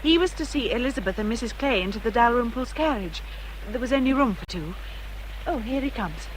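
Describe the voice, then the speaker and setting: A woman plays a reserved young English lady of gentle manners in a period radio drama. Her voice is fairly high and restrained, with a faint hum behind it. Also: lively intonation, fairly quick, close to an everyday voice, poor audio. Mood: polite, composed. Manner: polite, restrained.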